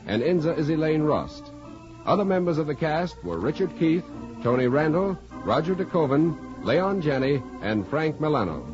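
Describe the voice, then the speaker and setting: A man announcing in the formal style of a 1940s radio show. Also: a little high. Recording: muffled 1940s radio with music. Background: music.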